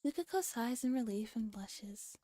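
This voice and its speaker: A woman streamer with a soft, breathy, often whispering voice, reading a story and chatting casually in a relaxed ASMR style. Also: a little high, moderate pace, dry recording, clean studio sound. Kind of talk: ASMR visual novel read-aloud with casual chat.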